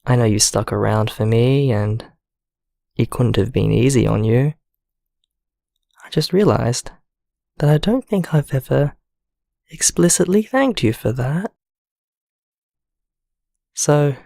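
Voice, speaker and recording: Lonely, apologetic man played by a male actor for audio drama; hesitant confessional delivery, slow and dry, close-sounding. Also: mid-range voice, lively intonation, creaky, mellow, dry recording. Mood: apologetic, grateful. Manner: hesitant, halting, confiding. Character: vulnerable, lonely man named Ricardo, apologetic and grateful. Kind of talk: naturalistic audio drama voicemail monologue.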